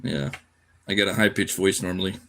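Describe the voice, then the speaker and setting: A man's voice: low voice, steady tone, a little fast, a bit bright, a little hiss or hum. A tired man chatting casually on a livestream while he draws, laid-back and slangy, half muttering to himself. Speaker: cartoonist live streamer.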